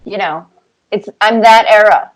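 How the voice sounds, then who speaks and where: A woman's voice: medium pitch, a little slow, poor audio. A woman in her fifties giving casual, thoughtful interview answers as a guest, with little anecdotes. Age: 50s. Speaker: cartoonist guest being interviewed.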